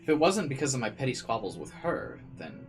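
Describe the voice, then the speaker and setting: A man's voice: mid-range voice, sing-song, a little fast, gravelly. A man playing a visual novel, reading its narration aloud dramatically and adding casual commentary. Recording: game music behind the voice.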